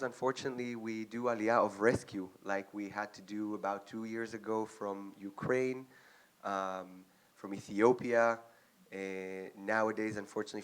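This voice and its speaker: A young adult man from Israel with an Israeli accent, giving an earnest speech to an audience as an agency representative, with frequent ums and pauses. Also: lowish voice.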